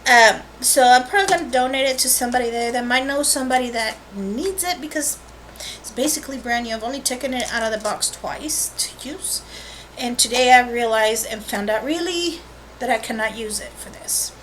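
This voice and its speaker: A high-pitched woman giving a casual, rambling vlog introduction as host of an art channel, recorded on a webcam microphone. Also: a little fast, fairly bright tone. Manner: rambling, informal asides and self-corrections. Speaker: host of a watercolor painting channel. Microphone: built-in webcam microphone. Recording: faint noise on a webcam mic.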